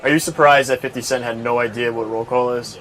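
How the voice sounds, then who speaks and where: A man's voice: medium pitch, steady tone, quick pace, a crowd in the background. A man working as a show reporter, asking questions in a casual, conversational style. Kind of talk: casual interviewing.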